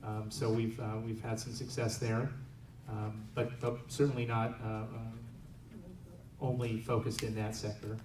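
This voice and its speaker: A man's voice: lowish voice, flat delivery. Male panelist, hesitant delivery with frequent fillers, picked up from a distance in a noisy, echoey room. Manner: halting, many fillers and restarts. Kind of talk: panel discussion.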